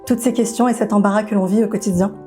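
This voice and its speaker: Lady narrating a campaign film, firm and declarative, soft music under. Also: mid-range voice, steady tone, quick pace. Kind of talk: scripted monologue.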